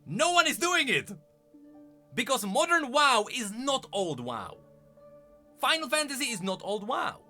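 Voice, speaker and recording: A man with a bright, high voice talks casually to his livestream chat as a gaming commentator. His pitch swings in a lively way, and he is emphatic, often repeating a phrase to drive it home. Music plays faintly behind him. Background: background music.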